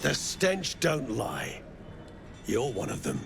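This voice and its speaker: Greedy fantasy game thug: heavy rasping fry, animated delivery, rough taunting slang, music underneath. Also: male voice, mid-range voice, slow, exaggerated voice. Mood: menacing, taunting. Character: menacing, greedy, crude mercenary slave-driver. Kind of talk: video game voice acting.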